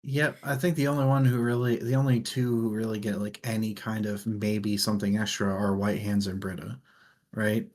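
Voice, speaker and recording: A man's voice: fairly low pitch, flat delivery, moderate pace, a little mellow. A man co-hosting a book discussion, talking in a casual, conversational way. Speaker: book discussion show host.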